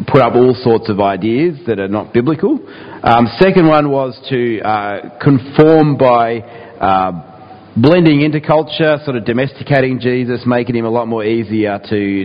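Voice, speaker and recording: A man preaching a sermon to his church, his voice slightly croaky, talking in a relaxed way with frequent ums and restarts. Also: mid-range voice. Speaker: pastor. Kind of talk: sermon with scripture reading. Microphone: mic and speaker system in a hall. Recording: dull sound with long hall reverb.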